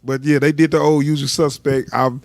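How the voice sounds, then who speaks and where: A man's voice: on the high side, a little fast, dry recording. A man co-hosting a film review podcast, talking casually and laughing often. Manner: laughs often, relaxed colloquial speech. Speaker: podcast co-host, film critic. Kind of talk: casual movie review chat.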